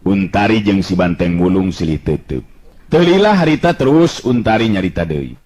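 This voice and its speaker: A man narrating a folk tale as a storyteller, in a brisk, measured way. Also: deep voice, lively intonation, a little mellow.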